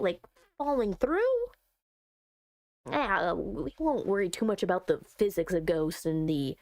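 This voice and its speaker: A woman doing let's play commentary on a visual novel, reading it aloud and chatting with expressive, playful asides. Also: on the high side, no room sound, clean studio sound. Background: soft music.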